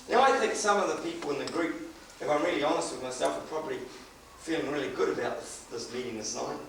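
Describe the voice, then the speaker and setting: A man's voice: mid-range voice, gravelly, fairly bright tone, distant mic, slight background noise. A middle-aged man, preaching a sermon and telling a personal story with chuckles. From New Zealand. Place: church hall. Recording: echoey hall recorded from afar.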